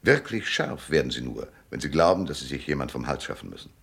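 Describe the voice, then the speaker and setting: An actor in a German radio drama playing a world-weary, cynical private detective with a laconic, knowing manner and a deep, gravelly voice. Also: male voice, very animated, fast, a bit bright, full character voice. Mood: dry, knowing.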